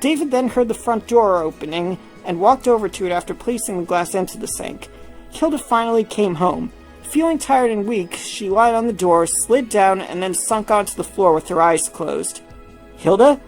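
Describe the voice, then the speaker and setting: A woman's voice: medium pitch, lively intonation, normal speed, soft background music, low-quality recording. A woman narrating a piece of fiction from a script, as a story reader.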